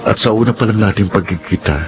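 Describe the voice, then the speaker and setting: A man's voice: lowish voice, quick pace, natural voice. A man with a Filipino accent acting an earnest, tender young suitor in a romantic drama, with a pleading, sweet-talking manner. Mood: earnest confession. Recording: music bed under dialogue.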